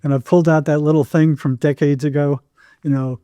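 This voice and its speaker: A man's voice: fairly high pitch, little pitch movement, brisk, warm tone, clear, resonant tone, no echo, studio quality. An older American man telling anecdotes casually as an interview guest, acting out bits of dialogue as he goes.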